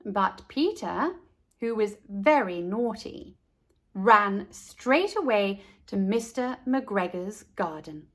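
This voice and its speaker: A woman hosting a storytime, reading a children's storybook aloud in a deliberate, expressive way and speaking directly to young listeners. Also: medium pitch. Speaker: storytime host and narrator.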